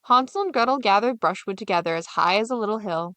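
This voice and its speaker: A woman's voice: mid-range voice, lively intonation, quick pace, pushed and bright, no room sound. A woman storyteller reading a scripted fairy tale as narration.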